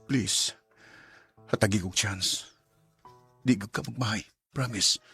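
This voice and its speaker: Deep, husky young suitor. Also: male voice, takes it slowly, pushed and bright, heavily acted. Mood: earnest, pleading. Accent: Cebuano Filipino. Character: earnest lovestruck young man, a pleading suitor. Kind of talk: Bisaya radio drama acting. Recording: heavy music under the voice.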